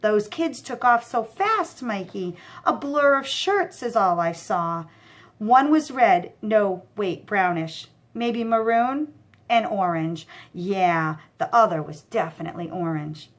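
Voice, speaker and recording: A big, slow-witted goon, acted out by a woman reading a children's book. He whines anxiously and rambles on in his own defense, like a sulky henchman in over his head. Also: medium pitch, lively intonation. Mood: rambling. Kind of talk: children's audiobook character voice.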